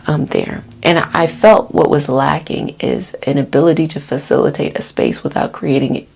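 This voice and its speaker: A woman hosting a casual self-care healing session, talking in an encouraging, reassuring, conversational way with a husky, creaky voice. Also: low voice, steady tone, moderate pace, darkish tone. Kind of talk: casual spoken wellness talk for an ASMR healing session.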